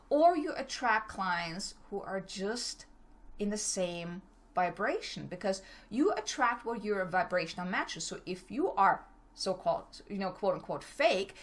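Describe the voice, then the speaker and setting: Fast-talking German woman coach. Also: mid-range voice, fairly bright tone. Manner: fast, stumbles over words, direct. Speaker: business coach. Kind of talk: motivational solo talk.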